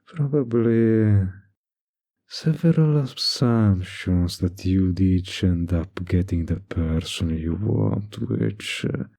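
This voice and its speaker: A man playing a suave, manipulative villain in a flirty roleplay audio, with a breathy, gravelly voice and a slow, teasingly polite, condescending manner. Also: low voice, mellow, no room sound, clean studio sound. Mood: sly, musing.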